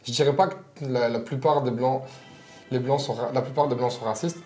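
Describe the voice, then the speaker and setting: A man's voice: medium pitch, fairly even intonation, fairly quick, darkish tone, echoey room, faint music behind. A man in his mid twenties speaking assertively in a casual group discussion, repeating points for emphasis. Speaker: panelist, works as a manager.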